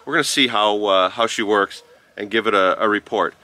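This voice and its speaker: A man giving a casual, unscripted product review, explaining step by step in a halting, informal way. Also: on the low side, bright, forceful tone, dry recording. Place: outdoors on a rural farm. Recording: noisy outdoor farm ambience with chickens. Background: clucking chickens, outdoor ambience.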